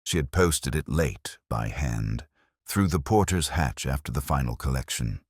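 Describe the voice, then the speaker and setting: Man acting melancholy detective narrator, very low voice, expressive rise and fall, measured, literary, scripted radio mystery. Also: slightly rough, dry recording, studio quality, full character voice. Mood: pensive. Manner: measured, literary, brooding delivery.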